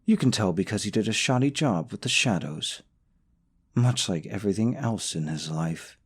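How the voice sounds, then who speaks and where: A man's voice: lowish voice, animated, normal speed, slightly rough. A man playing a young, smug, possessive boyfriend in an audio roleplay, sarcastic toward his rival and syrupy sweet to the listener. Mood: smug, coaxing. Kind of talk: scripted romantic audio roleplay.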